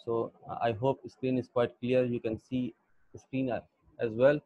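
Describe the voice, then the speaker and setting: A young Pakistani man with a Pakistani accent, lecturing an online English class in a halting way with many fillers. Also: mid-range voice, level tone, dry recording. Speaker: English teacher. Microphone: computer mic on a video call. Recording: dull lo-fi video call audio.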